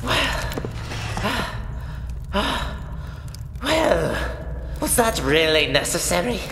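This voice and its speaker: A woman's voice: on the low side, very animated, very slow, vocal fry, full character voice. A woman acting a fussy, talkative, bookish cavern recluse in a radio play, with wordy, pompous phrasing. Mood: flustered. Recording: echoey, noisy, long reverb.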